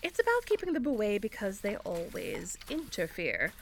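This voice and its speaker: A woman's voice: medium pitch, huge pitch swings, moderate pace, a little hiss or hum. A woman playing an exasperated, sardonic theater director in an audio drama, bossy but encouraging. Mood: exasperated, dry. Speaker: actor in an audio fiction podcast. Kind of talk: acted audio drama dialogue.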